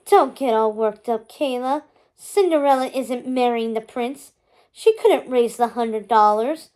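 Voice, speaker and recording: A woman's voice: fairly high pitch, unhurried, darkish tone, natural voice. A woman voicing a cruel, self-satisfied stepmother in a storybook, with a taunting manner. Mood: smug, menacing. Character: scheming cruel stepmother, middle-aged woman, mocking.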